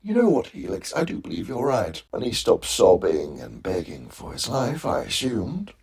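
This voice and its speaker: A man's voice: on the low side, wildly swooping pitch, normal speed, creaky, a little mellow, full character voice. A man voicing an eloquent, sadistic robot leader of a hit squad in a comic dub, with theatrical, menacingly polite monologues. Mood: mockingly genteel. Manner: theatrical, menacingly polite, soliloquizing, dropping to whispers.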